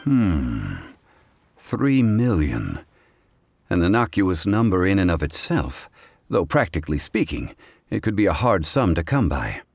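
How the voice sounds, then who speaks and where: Deep, unhurried scholarly gentleman. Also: very animated, no echo, studio quality, heavily acted. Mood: composed, musing. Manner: formal, measured, ponderous. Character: refined, scholarly gentleman, calm and absent-minded about money. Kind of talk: video game dialogue acting. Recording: music playing behind. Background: background music.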